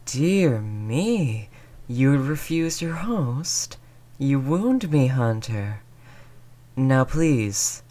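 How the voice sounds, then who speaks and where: A man's voice: medium pitch, a little mellow. A man playing a suave, sadistic vampire lord in an intimate audio roleplay, purring and teasing with courtly, menacing politeness. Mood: teasing, hospitable. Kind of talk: intimate scripted audio roleplay addressed to the listener.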